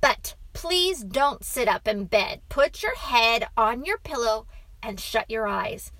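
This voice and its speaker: Woman as a weary, exasperated storybook dad, unhurried. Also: a little high, expressive, fairly bright tone, no echo, full character voice. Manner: protesting, patiently explaining, mildly scolding. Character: weary, exasperated father telling a bedtime story. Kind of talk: acted storybook reading.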